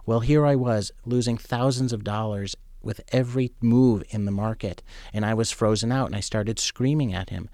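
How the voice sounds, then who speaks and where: A man's voice: lowish voice, moderate pace, few pauses, dark tone, no echo, studio quality. A man, a journalist and former trader, telling a personal story in a candid, conversational way.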